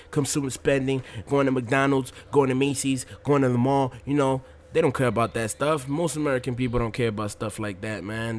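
A man's voice: mid-range voice, clean tone. A man in his twenties giving casual gameplay commentary, talking to his viewers in a slangy, laid-back, rambling way. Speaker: gaming video commentator.

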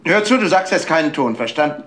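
A man's voice: on the high side, expressive, fast, a bit bright. An actor playing a domineering older father, a doctor under suspicion, curt and evasive, in a German crime audio play. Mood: commanding. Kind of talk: German radio crime drama acting.

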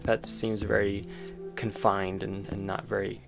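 Guy with a lowish creaky voice walking thru his game project, casual and into it, music in the back. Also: little pitch movement, a little slow. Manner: informal, enthusiastic, thinking aloud. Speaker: game developer presenting own project. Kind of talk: casual screen-recorded demo commentary. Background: music behind the voice.

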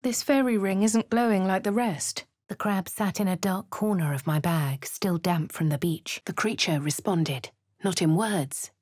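A woman's voice: mid-range voice, measured pace, darkish tone, no echo, clean studio sound, lightly acted. A woman voicing a posh English adventurer heroine. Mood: composed. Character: posh young female adventurer hero. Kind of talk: game voice lines and narration.

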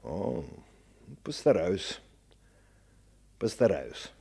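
A cold, official colonel in an old radio play. He has a lowish husky voice with wide swings of intonation. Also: big pitch swings, very slow, dark tone, full character voice. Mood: indignant, reluctant. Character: stern, cold police colonel with a sick wife. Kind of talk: Soviet radio play acting.

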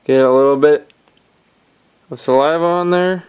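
A man calmly explaining step by step how to tie fishing knots, talking while his hands work at a table indoors. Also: a little high, normal speed, smooth. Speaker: fishing instructor. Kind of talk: hands-on knot-tying tutorial.

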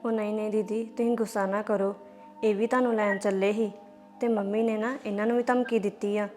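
A woman's voice: mid-range voice, level tone, a little fast, darkish tone, soft background music, natural voice. Woman as young sister-in-law, Punjabi accent, placating, earnest. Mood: pleading. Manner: pleading, placating. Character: young sister-in-law caught in a family feud.

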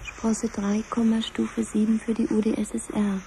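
An actress playing the official computer voice of a command centre in a 1970s radio drama, formal, measured and ceremonious. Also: female voice, fairly high pitch, completely flat delivery, warm tone, a little hiss or hum, lightly acted. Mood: solemn, official.

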